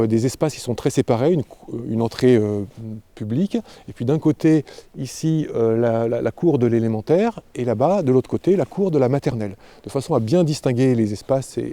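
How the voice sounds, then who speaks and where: A man with a very dark, mellow voice of average pitch gives an unscripted guided explanation. He speaks like an architect presenting a project, feeling for words and repeating them as he goes. The sound is dry and close. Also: no echo. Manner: halting, hesitant, repeats words while explaining. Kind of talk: spontaneous guided-tour explanation.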